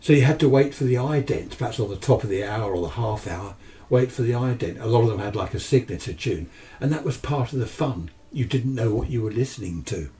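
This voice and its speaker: A man's voice: medium pitch, animated, brisk, warm tone. A man in his seventies with a British accent chatting casually and enthusiastically about his hobby, rambling and answering his own questions, recorded on a distant microphone. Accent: British English. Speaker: amateur radio hobbyist presenter. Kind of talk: casual rambling chat to camera.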